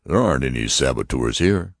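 A man's voice: extremely low pitch, expressive, a little fast, vocal fry, no echo, studio quality, heavily acted. A man voicing a heavy-set businessman who indignantly denies any involvement. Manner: indignant, defensive.

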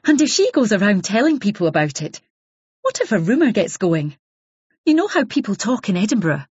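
An indignant, strained woman , as a narrator puts her on, a little higher than the narration. She protests hotly and sounds under stress. The sound is husky, dry and a little dull. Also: big pitch swings, brisk, a little mellow, no echo. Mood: worried.

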